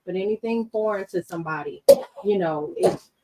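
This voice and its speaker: A woman's voice: mid-range voice, sing-song, normal speed, mellow, low-quality recording. A woman on a talk show panel adding casual, conversational commentary.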